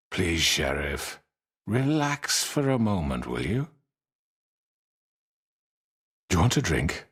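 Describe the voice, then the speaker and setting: Suave, very deep-voiced crime boss. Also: male voice, heavily acted. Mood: falsely hospitable. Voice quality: husky. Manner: unctuous, formal, measured politeness. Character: suave, menacing male crime boss, falsely courteous. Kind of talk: dramatic video game acting.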